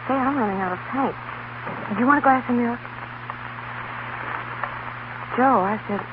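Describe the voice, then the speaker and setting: Actress as a wistful young schoolteacher, muffled recording. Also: female voice, medium pitch, natural voice. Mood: casual, tender. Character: wistful, gentle young woman schoolteacher, quietly yearning. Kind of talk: 1930s radio acting. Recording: muffled 1930s radio broadcast, clear hiss.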